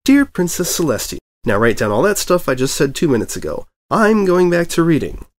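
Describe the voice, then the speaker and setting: A bookish young mare from a cartoon fan story, put on by a male reader. She is brisk and unbothered, dictating in a matter-of-fact tone at a lifted pitch. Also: huge pitch swings, no room sound.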